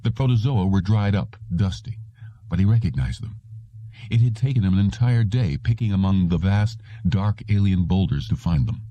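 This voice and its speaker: A man's voice: sits low, animated, moderate pace, dark tone, no room sound, muffled recording. A man narrating a piece of written fiction as a scripted audiobook.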